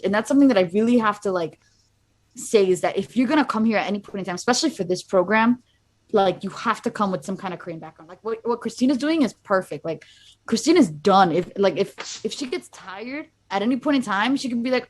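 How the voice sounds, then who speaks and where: A young woman from Miami, a student abroad, chatting casually as a guest and rambling with many fillers. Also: medium pitch. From Miami, USA. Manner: rambling, heavy use of "like". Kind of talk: casual chat.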